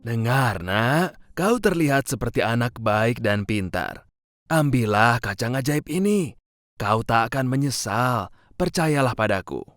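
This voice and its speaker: A man's voice: medium pitch, lively intonation, measured pace, fairly bright tone, dry recording. A man playing a strange old man in a children's cartoon tale, coaxing and persuasive. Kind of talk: children's storybook cartoon dialogue.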